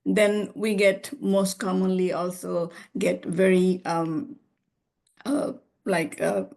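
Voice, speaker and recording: Brief: middle-aged woman, Bangladeshi accent, slightly gravelly, warm and rambling guest physician on a video call. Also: lowish voice, little pitch movement, slow pace. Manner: warm, rambling, with frequent "you know" and restarts. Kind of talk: conversational talk on a video call.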